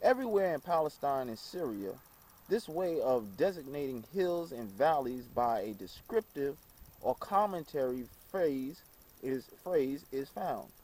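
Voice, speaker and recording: A man reading an old book aloud in a halting way, stumbling over words and repeating phrases. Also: medium pitch, a little mellow. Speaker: reader.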